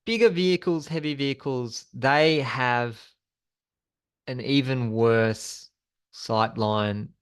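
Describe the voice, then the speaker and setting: A man's voice: medium pitch, clean tone. A man presenting a slide-based training session as an instructor, explaining things in an informal, conversational way.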